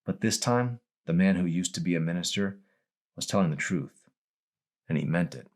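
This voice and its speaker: A man's voice: low-pitched, animated, normal speed, darkish tone. A man narrating his own novel as an audiobook reading.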